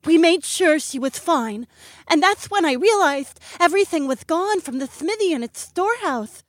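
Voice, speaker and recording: A man's voice: very high-pitched, lively intonation, moderate pace, a bit bright, no room sound, studio quality, voice pushed up. A man voicing a frightened young fox-girl farmhand, breathless and tearful as she pleads for help.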